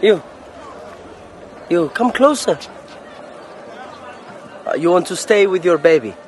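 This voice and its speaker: A man's voice: sits high, expressive, slow pace, some chatter behind. An actor playing a polite, insistent middle-aged merchant and father in a naturalistic audio drama, speaking a little hesitantly. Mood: coaxing. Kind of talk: naturalistic radio drama acting. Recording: noisy outdoor street ambience.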